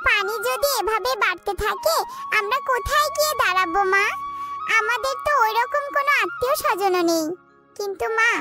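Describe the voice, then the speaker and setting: A little cartoon jackal daughter, extremely high and childlike, with a plaintive, pleading way of talking. Loud music runs behind the voice. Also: female voice. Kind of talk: cartoon voice acting.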